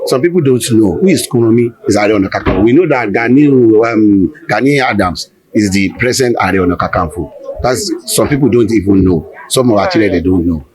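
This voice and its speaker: A man with a Nigerian accent speaks in a low voice, explaining earnestly and informally. He halts and repeats himself, with crowd chatter clearly behind him. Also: expressive, brisk, poor audio.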